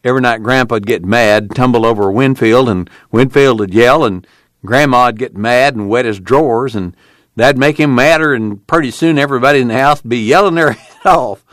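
A man voicing a gleeful, folksy farm father from the in an audiobook, excitable and tumbling over his words. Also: a little high, a little slow, no echo, studio quality, pitched above the performer's own voice, heavily acted. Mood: amused, boisterous. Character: gleeful rustic farm father, chuckling storyteller. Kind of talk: audiobook character acting.

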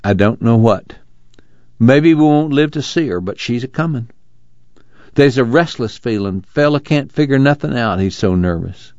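A man voicing a slow, thoughtful old farmer father with a rural drawl. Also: medium pitch, animated, a little mellow, dry recording, highs cut off, voice pushed up, close to an everyday voice. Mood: brooding.

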